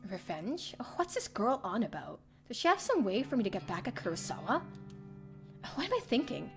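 A young woman streamer reads a visual novel aloud. Her voice sits a little high as she delivers dramatic first-person narration, with soft game music behind her. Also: huge pitch swings, moderate pace. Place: indoor streaming room. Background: faint game music.

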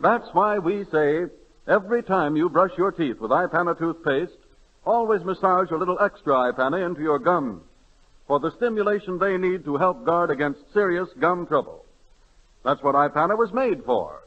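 A man's voice: a little high, expressive, moderate pace. An American man reading an advertisement as a 1930s radio announcer. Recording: dull 1930s radio recording.

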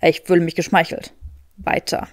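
A young male wizard of an audiobook story, played by a woman, arrogant and teasing. The voice drops low and carries a dry, pompous self-assurance. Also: little pitch movement, normal speed, lightly acted. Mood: smug.

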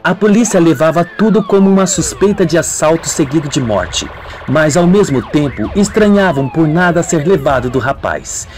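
A man's voice: on the high side, expressive, moderate pace, darkish tone. A man narrating a scripted radio soap opera as a dramatic storyteller. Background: music.

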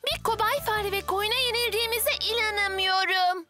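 Feisty, squeaky-high little girl. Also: moderate pace, noisy background. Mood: defiant. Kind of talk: animated cartoon dub acting.

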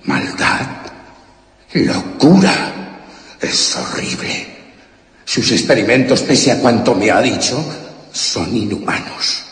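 Cautivo horrorizado, lento y resonante. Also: male voice, on the low side, big pitch swings, slow pace, long pauses, fairly bright tone, heavily acted. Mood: horrified, defiant. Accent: Castilian Spanish. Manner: dramatic, emphatic. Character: horrified, defiant captive man confronting a mad scientist. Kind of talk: suspense radio drama acting. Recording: echoey, long dungeon-like reverb.

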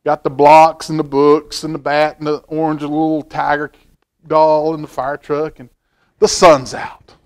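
Middle-aged man, higher-pitched, reading to children. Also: unhurried. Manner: expressive, folksy, repeats phrases for emphasis. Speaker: library storytime reader, stand-in host. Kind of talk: animated picture-book read-aloud with asides to children. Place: indoor library room, seated at a table.

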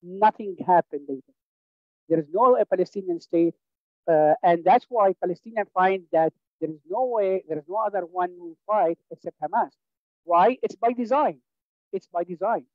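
Man, halting and emphatic. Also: high voice, dry recording. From Syria. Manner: halting, many fillers and restarts, emphatic. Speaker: audience member. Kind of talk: impassioned political comment. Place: small home room, indoors, video call. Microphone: headset mic. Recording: dull dry video-call audio.

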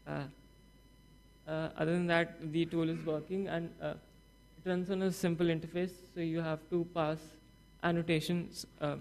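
A man's voice: on the high side, little pitch movement, moderate pace. A young man presenting his summer coding project at a conference, talking through slides with many fillers and restarts. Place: conference hall stage.

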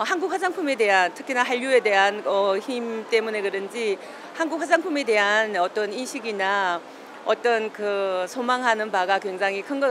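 A woman's voice: medium pitch, fairly bright tone, a little hiss or hum. A woman in her forties, an exhibitor, answering hesitantly with fillers into a handheld mic at a trade fair.

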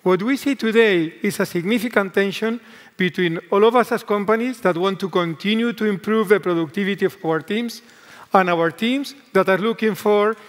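A man in his fifties with a Spanish accent, speaking earnestly as a tech executive in an onstage keynote conversation. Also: high-pitched. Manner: earnest, deliberate corporate speech. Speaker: tech executive guest on stage. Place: large conference stage. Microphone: headset mic. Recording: long hall reverb.

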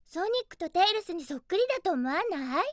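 A woman's voice: very high voice, lively intonation, quick pace, no echo, studio quality. A woman playing a spirited, bossy young hedgehog heroine in a Japanese video game, girlish and feisty, with cutesy emphatic delivery. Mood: exasperated, scolding.

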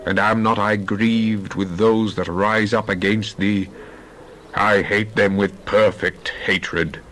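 A man giving a dramatized Bible reading in a solemn, measured way. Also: lowish voice, fairly even intonation, fairly bright tone, faint music behind, low-quality recording. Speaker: scripture reader.

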